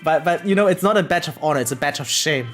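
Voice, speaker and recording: An excitable gaming streamer chatting casually and slangily on a livestream, swearing freely. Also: medium pitch, fast talker. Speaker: variety game streamer. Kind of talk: casual livestream chat about gacha games. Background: background music.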